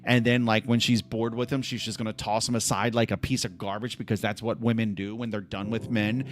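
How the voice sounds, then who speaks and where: A man's voice: on the low side, level tone, a little fast. A man hosting a casual livestream from home on his laptop, rambling and restarting his sentences with lots of "like.". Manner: rambling, restless, full of restarts and "like". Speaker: livestream host.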